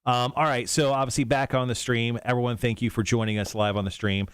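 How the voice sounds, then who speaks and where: A man's voice: mid-range voice, fairly even intonation, brisk, smooth, no room sound. A man co-hosting a morning radio show in a studio, chatting loosely and laughing often. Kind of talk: casual morning radio chat.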